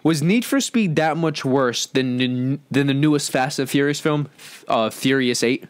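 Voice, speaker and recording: A man's voice: mid-range voice, normal speed, smooth. A man hosting a podcast discussion in casual, slangy speech, steering the others along. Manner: slangy, often addresses others as bro. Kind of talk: casual chat.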